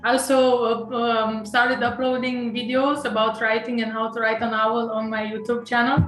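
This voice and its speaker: A woman's voice: a little high, little pitch movement, moderate pace, a bit bright, reverberant, soft background music, rough recording. A woman from Romania with a Romanian accent, an author being interviewed, speaking hesitantly with many fillers, restarts and nervous laughs. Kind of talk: casual interview conversation.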